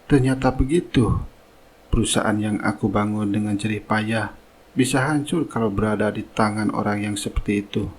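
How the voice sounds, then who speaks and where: A man playing a dignified older company boss and father in a cartoon melodrama, husky, measured and authoritative. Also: lowish voice, a little hiss or hum, close to an everyday voice. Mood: concerned.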